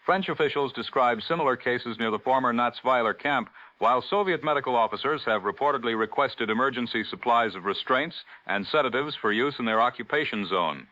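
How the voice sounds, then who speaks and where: Man acting a sharp, formal 1940s radio anchor. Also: mid-range voice. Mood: grave, formal. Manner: formal, measured newsreader delivery. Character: grave 1940s radio news anchor, middle-aged man. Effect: old radio filter. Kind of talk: 1940s radio news reading. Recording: dull lo-fi vintage radio broadcast.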